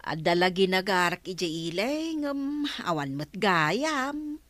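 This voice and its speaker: A woman's voice: medium pitch, very animated, takes it slowly, fairly bright tone, no echo. A woman playing an evasive, easily irritated village woman in an Ilocano comedy drama, hesitant and drawing out her words.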